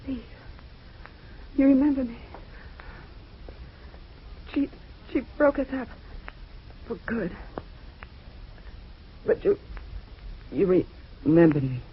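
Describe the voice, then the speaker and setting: An actress playing a tough, vengeful jilted lover, accusing and intense in old-time radio melodrama. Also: female voice, a little high, very animated, takes it slowly, pauses often. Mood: weak, dying. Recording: dull, noisy old radio recording.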